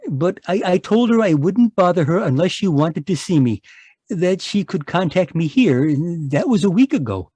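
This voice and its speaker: Man acting anxious husband, radio mystery, highish mellow voice, stammering, close dry sound. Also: very animated, normal speed, breathy edge, no room sound, exaggerated voice. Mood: earnest, hopeful. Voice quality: stammering, hesitant delivery. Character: anxious, wronged husband seeking his wife and fearing murder. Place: home, live video call performance.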